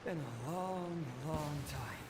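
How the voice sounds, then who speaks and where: A man's voice: fairly high pitch, animated, takes it slowly, heavy background noise. A man voicing a boisterous swordmaster spirit in a video game, theatrical and hearty, eager for a challenge. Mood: elated. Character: boisterous male swordmaster spirit who relishes a fight. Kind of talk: video game character acting. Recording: echoing game audio under loud music.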